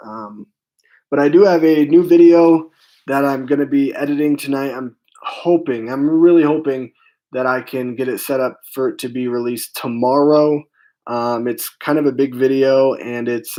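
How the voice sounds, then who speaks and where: A man with a hoarse, fading voice hosts a casual live stream from a room at home, rambling with lots of fillers as he answers his chat. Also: medium pitch, darkish tone. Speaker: film channel host.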